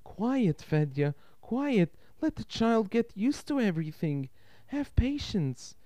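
A man's voice: high-pitched, sing-song, slow, dark tone, dry recording, voice pushed up, exaggerated voice. A man voicing a kind, patient Russian aunt in a told story, soothing and coaxing as she keeps the peace. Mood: soothing, pleading.

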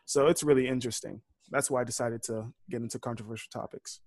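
A man's voice: medium pitch, steady tone, fast, darkish tone, no echo, clean studio sound. A young man, a university student, talking earnestly about his podcast in a casual interview. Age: young adult. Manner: earnest, eager to debate. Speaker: podcast host, guest.

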